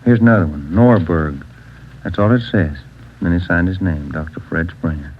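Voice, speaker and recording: Guy doing a low-ish easygoing cop partner, plain talk, dull old radio. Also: moderate pace, slight background noise, close to an everyday voice. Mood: steady. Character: steady adult male detective partner. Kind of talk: 1940s radio drama acting. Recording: dull lo-fi 1940s radio broadcast.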